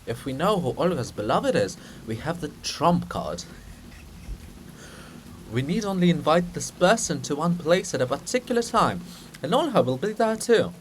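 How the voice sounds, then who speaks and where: A man reading as sly, fussing statue of a statesman, animated. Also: on the high side, moderate pace, a little hiss or hum. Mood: scheming, conspiratorial. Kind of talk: dramatic play reading.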